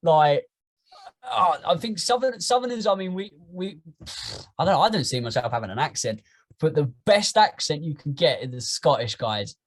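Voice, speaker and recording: A young man with an English accent, chatting casually as a podcast guest, rambling and animated. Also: a little high, normal speed. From England. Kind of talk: casual chat.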